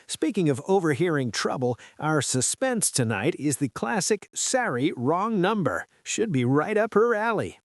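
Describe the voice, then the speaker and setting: A man's voice: fairly high pitch, big pitch swings, moderate pace, few pauses, no echo, studio quality. A man playing a folksy, wry small-town radio newsman and host from the seventies, reading a script on air. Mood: wry banter. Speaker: radio announcer.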